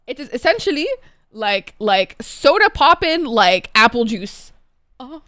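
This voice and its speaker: Streamer, young woman, Egyptian accent, a bit high-pitched, chatty, excitable, curses often, dry sound. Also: a little slow, no room sound. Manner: chatty, excitable, swears freely. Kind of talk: casual chat while gaming.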